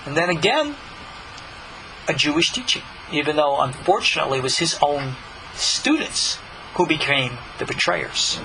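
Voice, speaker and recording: A man's voice: on the high side, big pitch swings, normal speed, low-quality recording. A man, a rabbi, teaching scripture in an earnest, explanatory way, raising his voice on key points. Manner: earnest, explanatory, rising emphasis on key words. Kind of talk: recorded Bible study lesson.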